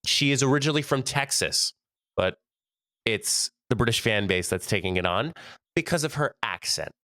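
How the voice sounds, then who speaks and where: A man's voice: medium pitch, lively intonation, normal speed, bright, forceful tone, no echo, studio quality. An American man co-hosting a podcast, chatty and laughing often, his voice stuffy from allergies. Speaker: podcast co-host. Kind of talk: casual podcast chat.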